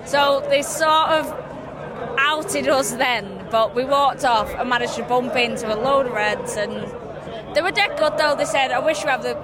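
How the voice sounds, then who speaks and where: A woman's voice: high voice, moderate pace. A woman with a Warrington accent, a football fan chatting in a street interview. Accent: English, Warrington. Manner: chatty, storytelling. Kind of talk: street vox pop interview. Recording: loud crowd and noise behind.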